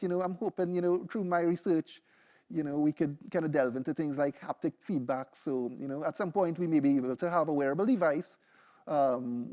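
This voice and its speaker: A man's voice: on the high side, mellow. A young man with a Trinidadian accent giving a seminar talk, loose and conversational, often checking in with "right?" and "you know". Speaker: PhD candidate presenting. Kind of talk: seminar presentation with live demo.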